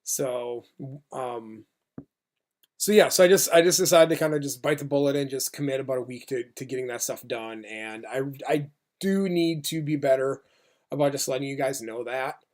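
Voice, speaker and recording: A man's voice: medium pitch, normal speed, darkish tone. An American man giving a casual vlog update as an animator, speaking informally in a rambling, hesitant way.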